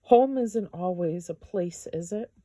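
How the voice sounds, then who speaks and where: Woman reading as a gentle, wondering storybook boy. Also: lowish voice, a little slow, no echo.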